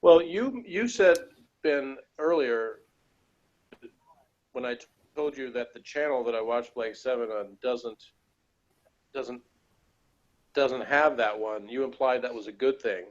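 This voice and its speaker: A man's voice: mid-range voice, unhurried, long pauses, highs cut off. A man chatting casually as co-host of a TV episode review.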